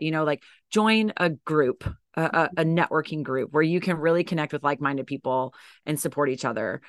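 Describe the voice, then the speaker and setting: A woman's voice: deep voice, a bit bright, clean tone, no room sound, studio quality. A woman with a scratchy, tired voice from teaching, chatting as a podcast guest with lots of fillers and restarts. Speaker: podcast guest, dance educator and coach. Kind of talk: casual interview chat.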